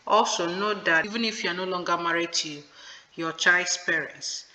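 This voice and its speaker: A woman's voice: fairly low pitch, moderate pace, bright, forceful tone. A woman hosting a tutorial, patiently walking viewers through an online form and repeating the key points. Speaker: video host explaining a visa form. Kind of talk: screen-recorded tutorial walkthrough. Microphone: voice-over on a screen recording. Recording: echoey room with long reverb.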